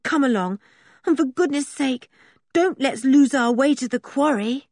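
Woman acting headstrong young girl, storybook heroine, high pitched, urgent, fiery, swooping intonation, close dry sound. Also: expressive, unhurried, a little mellow, dry recording, heavily acted. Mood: determined. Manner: urgent exclamations and demanding questions.

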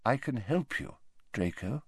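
Brief: old wizard headmaster read by a man, soft and calm, light conversational tone with quiet mumbles, storybook reading. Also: medium pitch, measured pace, no room sound, studio quality, pitched above the performer's own voice. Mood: gentle, pleading. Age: older.

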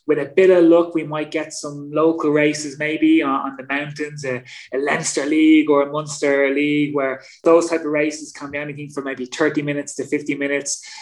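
A man's voice: on the high side, little pitch movement, moderate pace. A man hosting a podcast in a chatty, casual way, often repeating words as he thinks. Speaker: podcast host.

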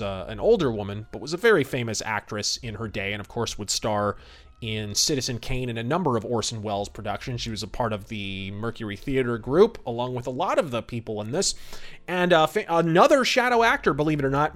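Man, podcast host, medium pitch, casual history commentary, conversational, wry. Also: a bit bright.

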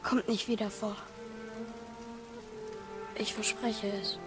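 A woman's voice: mid-range voice, little pitch movement, takes it slowly, music in the background, close to an everyday voice. A woman playing a curious, earnest young boy in an audio drama, with a hesitant, inquisitive manner. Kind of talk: dramatic audio play acting.

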